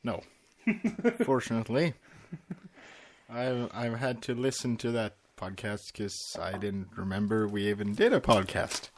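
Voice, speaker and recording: A man co-hosting a casual fan podcast, chatting and reading notes in a halting way, sometimes repeating himself. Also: on the low side. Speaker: podcast co-host.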